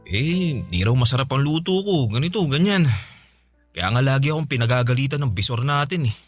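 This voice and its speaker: A man's voice: mid-range voice, lively intonation, a little fast, no echo, soft background music, close to an everyday voice. A man playing a weary, apologetic young husband in a Tagalog radio drama, speaking in a placating, subdued way. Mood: weary, frustrated.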